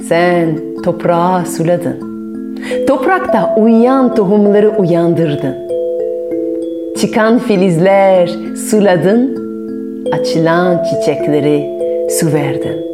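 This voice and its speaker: A woman voicing a kind male water carrier in a storybook tale, speaking in a gentle, encouraging and patient way. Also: mid-range voice.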